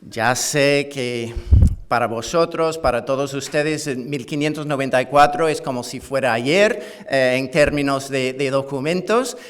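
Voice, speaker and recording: Slow hesitant professor with Canadian-Andalusian accent. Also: male voice, mid-range voice, fairly bright tone, clear, resonant tone. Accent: English-Canadian with Andalusian Spanish touches. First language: English. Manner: hesitant, many "eh" fillers and restarts, self-deprecating humor. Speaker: university professor giving a conference talk. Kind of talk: academic conference lecture.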